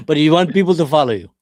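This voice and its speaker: Upbeat chatty man, slightly high, dry sound. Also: breathy edge, no room sound. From Israel. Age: 40s. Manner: chatty, upbeat, asks lots of questions. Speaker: livestream host chatting with strangers. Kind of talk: casual video chat. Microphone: desk camera mic.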